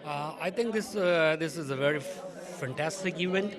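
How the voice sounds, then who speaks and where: A man's voice: fairly high pitch, normal speed. A man, a community organizer interviewed at an event, speaking hesitantly and repeating words. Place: consulate reception hall. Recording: crowd chatter at an event.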